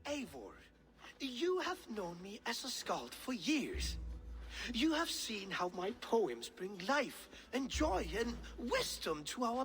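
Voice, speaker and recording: A man's voice: very high-pitched, a bit bright, exaggerated voice. An actor playing a flamboyant, self-important male Norse bard in a video game, speaking in a florid, grandiose way. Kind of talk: dramatic video game acting.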